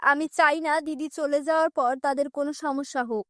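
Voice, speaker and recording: A woman's voice: high-pitched, steady tone, a little fast, a bit bright, no room sound, clean studio sound. A woman voicing a kind, protective uncle with an earnest, firm manner in a children's cartoon story.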